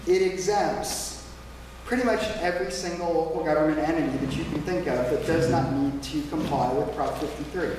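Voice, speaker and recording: A man's voice: on the high side, darkish tone, a little hiss or hum. Big-voiced man projecting in a room. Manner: emphatic, plain-spoken, repeats slogans. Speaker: debate speaker for a taxpayers' group. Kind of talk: public debate speech. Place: small church meeting room. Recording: echoey small hall, from far off.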